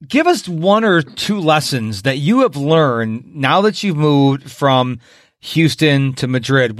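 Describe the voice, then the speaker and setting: Male podcast host, higher voice, dry recording, easygoing and teasing. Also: dry recording. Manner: laughs often, playful teasing. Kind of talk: casual interview chat.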